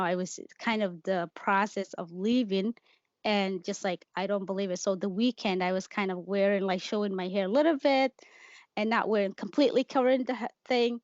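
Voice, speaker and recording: A woman from Somalia, a native Somali speaker, recounting her own story in an interview. She talks in halting bursts, restarting her sentences and filling pauses. The sound is dry and a little muffled. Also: mid-range voice, darkish tone, dry recording. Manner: hesitant, many fillers and restarts, laughs easily. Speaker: interview guest. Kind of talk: candid personal interview.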